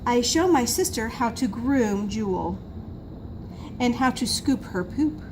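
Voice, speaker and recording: Female school storyteller for a picture book: lively intonation, emphatic, slow delivery, audible background noise. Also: on the high side. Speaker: school assistant reading to pupils. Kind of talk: children's picture book read-aloud. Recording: noisy room recording.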